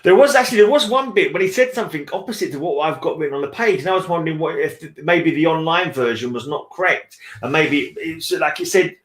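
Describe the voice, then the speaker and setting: A man's voice: fairly high pitch, fast, hardly any pauses, fairly bright tone, echoey room. A man chatting casually as host of a book read-along, rambling and repeating phrases as he searches for words. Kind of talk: casual book discussion chat.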